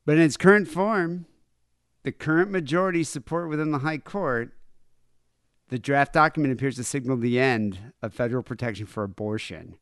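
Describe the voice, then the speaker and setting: A young man co-hosting a podcast, chatting sarcastically about politics, swearing and halting with fillers. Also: mid-range voice, no echo, studio quality. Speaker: podcast co-host. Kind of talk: casual political chat.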